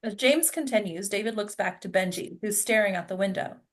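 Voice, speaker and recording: A woman's voice: mid-range voice, a little fast. A woman narrating stage directions at a table read in a plain, informal way.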